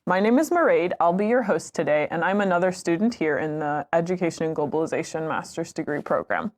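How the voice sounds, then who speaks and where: Friendly woman host, slightly low voice. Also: animated, brisk. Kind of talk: hosting an information session.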